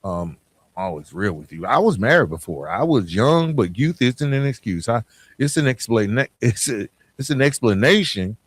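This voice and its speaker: A man's voice: mid-range voice, very animated, normal speed, breathy edge, no echo. A man hosting a podcast, giving a motivational talk straight to listeners in an emphatic, direct way, repeating phrases for weight. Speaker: podcast host.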